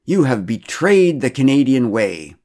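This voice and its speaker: A man voicing an indignant, pompous middle-aged businessman who declaims loudly and slowly. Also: medium pitch, big pitch swings, pitched above the performer's own voice. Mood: outraged. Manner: loud, slow and declaiming.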